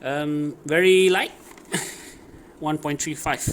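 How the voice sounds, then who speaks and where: A man with a slightly high voice talks through a casual DIY tutorial. His phrasing is improvised and hesitant, with pauses as he looks for words. There is some background noise around him. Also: steady tone. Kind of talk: casual hands-on how-to narration. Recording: clear background noise, ambient sound.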